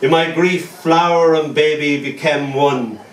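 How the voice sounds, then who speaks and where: A man from Ireland reading his poems to a live audience in a small cafe, with joking asides between slow, tender recitation. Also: on the high side, steady tone. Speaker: poet reading at an open mic. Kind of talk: poetry reading with banter. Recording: roomy, distant, faint noise.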